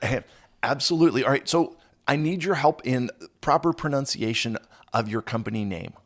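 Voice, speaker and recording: Man, podcast host, hoarse from a cold, raspy, gravelly, mid-pitched, upbeat, self-mocking. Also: moderate pace. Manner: upbeat, self-deprecating joker. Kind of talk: casual interview chat.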